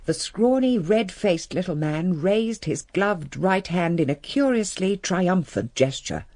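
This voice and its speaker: An actress playing a dramatic narrator in a radio detective play, with a creaky voice. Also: female voice, on the low side, animated, a little slow, a little mellow, dry recording. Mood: dramatic, hushed. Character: narrating witness, dramatic and vivid storyteller.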